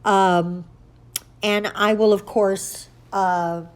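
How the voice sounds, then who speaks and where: A woman's voice: medium pitch, steady tone, very slow, smooth. A woman with a stuffy nose from allergies, hosting her nail art channel in an upbeat, chatty tutorial style. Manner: chatty, upbeat, self-correcting. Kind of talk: casual tutorial chat.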